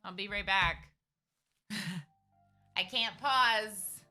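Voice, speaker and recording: A woman streaming a game live, chatty and excitable, talking to her characters as she plays at home. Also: mid-range voice, extremely slow, soft background music. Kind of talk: live gameplay commentary.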